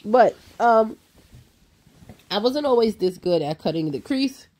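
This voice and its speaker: A woman's voice: a little high, animated, a little slow, darkish tone. A woman in her thirties, a makeup artist with a cold and a nasal voice, narrating her tutorial over the footage in a sassy, emphatic way. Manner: sassy, emphatic, talks to viewers as "girl".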